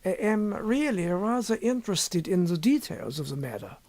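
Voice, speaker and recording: A man voicing a sly, impassive, secretive scholar in an audiobook story, with measured, deliberate and formal phrasing. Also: high-pitched, big pitch swings, darkish tone, no room sound, pitched above the performer's own voice. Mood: teasing, impassive. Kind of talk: audiobook character dialogue.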